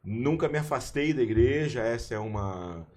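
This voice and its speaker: A man in his forties, a university chaplain and pastor, telling his story in a relaxed podcast chat with frequent restarts. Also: medium pitch, normal speed. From Brazil. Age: 40s. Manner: warm, storytelling, with many restarts. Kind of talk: casual podcast conversation.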